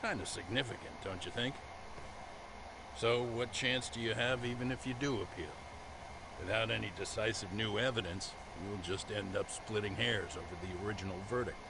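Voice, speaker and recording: An actor playing a seasoned, skeptical senior lawyer in a crime drama game, measured and questioning. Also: male voice, fairly low pitch, gravelly, noisy background. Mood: skeptical, probing. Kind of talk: dramatic English game voice acting.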